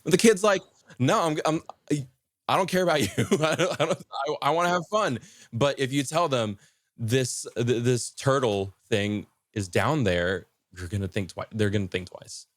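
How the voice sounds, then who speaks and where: A man explaining folklore in casual conversation as a podcast guest, haltingly rephrasing and repeating himself as he goes. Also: medium pitch, no echo, studio quality.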